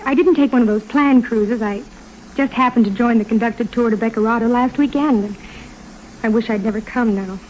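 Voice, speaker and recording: An actress playing a sweet, starry-eyed young bride in 1950s radio drama, speaking in a demure, gently hesitant way. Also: female voice, on the high side, a little fast, lightly acted. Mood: wistful, hesitant. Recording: muffled, noisy old 1950s radio recording.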